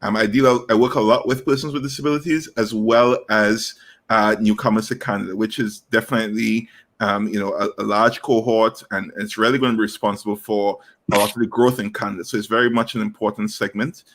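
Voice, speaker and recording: A man's voice: fairly low pitch, steady tone, fairly quick, fairly bright tone. A man in his thirties from Trinidad and Tobago, answering interview questions earnestly with frequent fillers and restarts. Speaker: guest, inclusive recruitment specialist.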